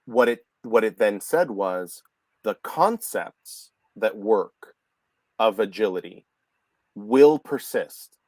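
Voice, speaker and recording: A middle-aged man with a Canadian accent hosting a casual video call chat, animated and often restarting his words. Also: fairly low pitch, takes it slowly, dry recording. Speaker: host. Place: office room, indoors. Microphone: video call webcam.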